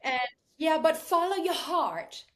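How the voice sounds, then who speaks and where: A woman whose first language is Danish speaks in a somewhat high voice as a guest on a podcast. She talks about her music in an earnest, heartfelt way and often restarts her sentences. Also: sing-song, takes it slowly. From Denmark. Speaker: singer-songwriter guest. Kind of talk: podcast interview.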